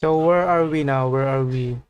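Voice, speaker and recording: A man's voice: medium pitch, moderate pace, smooth, dry recording, dull audio. A man livestreaming a game with casual, laid-back, slangy chat, close to the microphone.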